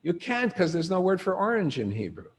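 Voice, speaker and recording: Older male lecturer, gravelly, conversational teacher who quizzes the room. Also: high voice, a little fast. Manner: animated storyteller, asks the room questions. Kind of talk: informal lecture to a group.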